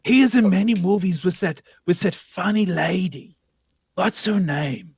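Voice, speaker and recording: A high-pitched man with a foreign accent banters in halting, broken English. He repeats words as he goes and bosses whoever he talks to, in a very dry, close recording. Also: creaky, husky, dry recording. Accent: foreign, non-native English. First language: not English. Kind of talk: bantering improvised comic chat.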